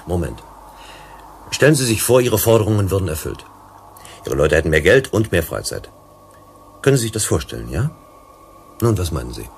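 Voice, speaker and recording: Calm mediator from Earth for a radio play: deep, gravelly, politely probing. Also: male voice, slight background noise. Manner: measured, courteous, questioning. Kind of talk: German radio play acting.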